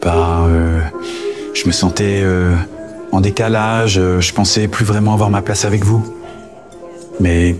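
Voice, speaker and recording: A man acts out an embarrassed but sincere police commander in an audio fiction. His voice is low and his delivery is halting. Music plays clearly behind him. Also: fairly even intonation. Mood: hesitant, sincere. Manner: hesitant, halting. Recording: music under the voice.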